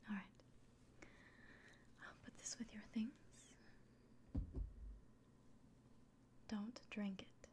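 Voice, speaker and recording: A woman voicing a kindly, earnest elven innkeeper in a gentle, breathy, unhurried whisper for an ASMR fantasy roleplay. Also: medium pitch, fairly even intonation, natural voice. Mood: gentle warning.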